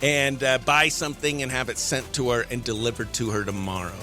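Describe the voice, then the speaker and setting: A man's voice: medium pitch, flat delivery, brisk, pushed and bright, soft background music. A man hosting a radio morning show in a casual, chatty, jokey way. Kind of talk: casual phone-in chat and show patter.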